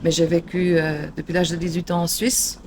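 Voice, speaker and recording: A woman's voice: lowish voice, flat delivery, moderate pace, background noise. A middle-aged woman from Portugal, chatting in an interview, hesitant, with many fillers and restarts. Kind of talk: casual interview chat.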